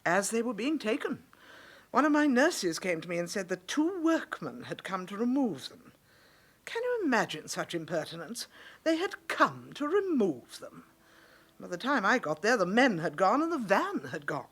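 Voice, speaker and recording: An actress playing an indignant, haughty English care home matron in a radio drama, speaking formally and precisely. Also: female voice, medium pitch, big pitch swings, normal speed, slightly rough. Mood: indignant, snobbish. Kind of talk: British radio drama acting.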